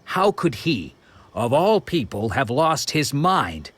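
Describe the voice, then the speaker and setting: A man voicing a middle-aged former sword disciple who tells his past in a measured, reflective way, with soft music behind. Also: medium pitch, very animated, husky, heavily acted. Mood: rueful. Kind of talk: video game voice acting.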